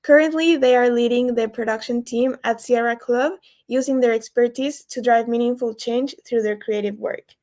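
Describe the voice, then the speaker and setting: A woman's voice: a little high, fairly even intonation, normal speed. A woman moderating an online session, reading out a speaker introduction with a few stumbles. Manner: warm scripted intro, some stumbles.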